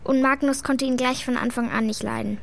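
A woman's voice: sits high, fairly even intonation, brisk, vocal fry, a little hiss or hum. A female performer playing an earnest, frank schoolgirl in a children's radio play, speaking in a matter-of-fact, childlike way. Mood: frank, defensive.

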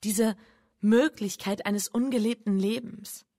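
An actress playing an anguished, guilt-ridden bourgeois wife in a radio drama, speaking with breathy, introspective intensity. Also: female voice, mid-range voice, steady tone, mellow, dry recording, clean studio sound. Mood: agitated, confessing. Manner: intense, introspective, pleading, halting repetitions. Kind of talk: German radio drama, intimate monologue and confession.

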